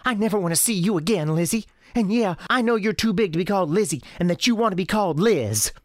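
A woman voicing a young girl with a high girlish voice and a folksy, childlike storytelling manner in an audio drama. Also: lively intonation, quick pace, no echo, clean studio sound, close to an everyday voice. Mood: angry. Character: young Southern girl Sarah, earnest, defiant, chatty. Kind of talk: acted audio drama dialogue.

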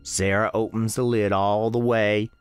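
A man narrating a radio play as a gentle country storekeeper, with folksy, colloquial phrasing. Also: on the low side, little pitch movement, unhurried, clean tone, faint music behind. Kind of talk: first-person storytelling in a radio play.

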